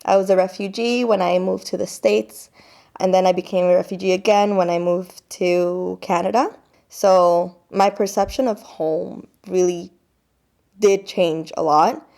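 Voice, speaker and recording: A woman's voice: fairly low pitch, fairly even intonation, a little slow, creaky, dark tone. Young woman, from Colombia, interviewee, casual, reflective, filler words.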